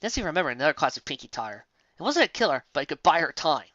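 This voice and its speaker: Animated, bright-voiced storyteller. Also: medium pitch, rapid-fire, dry recording, muffled recording. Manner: animated, theatrical. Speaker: storyteller, fan fiction reader. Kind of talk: dramatic story narration.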